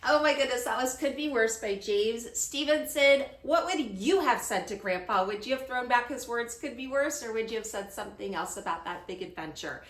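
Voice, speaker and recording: A woman's voice: fairly high pitch, a little fast, hardly any pauses, fairly bright tone. A woman hosting a children's storytime as a teacher, reading a picture book aloud. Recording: roomy, echoing sound.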